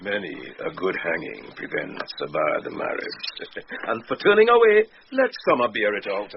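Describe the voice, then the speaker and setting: A man's voice: fairly low pitch, wildly swooping pitch, measured pace, a little hiss or hum, exaggerated voice. A man playing an impudent, witty court fool in a Shakespearean radio drama, teasing with playful riddles and mock logic. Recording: dull 1990s radio recording, faint music.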